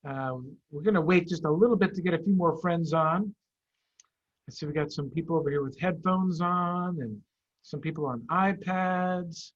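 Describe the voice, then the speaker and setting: A man, a head of school, chatting to children and reading them a story in an animated way over a video call. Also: fairly high pitch, normal speed. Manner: animated, addressing children with simple questions. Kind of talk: children's storytime read-aloud. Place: office. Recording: dull, lo-fi video-call audio.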